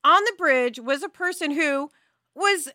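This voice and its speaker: High, bright-voiced woman chatting. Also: animated, a little slow, dry recording, clean studio sound. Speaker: podcast host. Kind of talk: casual podcast chat.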